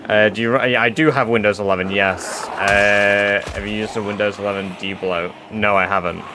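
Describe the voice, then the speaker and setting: A man's voice: fairly low pitch, fairly even intonation, normal speed, noisy background. A man with an English accent chatting loosely with viewers on a live stream, full of fillers and casual swearing. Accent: English, mixed with northern English touches. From England.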